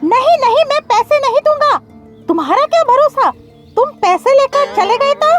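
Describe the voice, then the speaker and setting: A woman voicing a bossy, stingy, suspicious male crow who scolds and demands in a shrill cartoon voice. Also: lively intonation, moderate pace, loud music behind the voice. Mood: suspicious, stern. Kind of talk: cartoon story character voice.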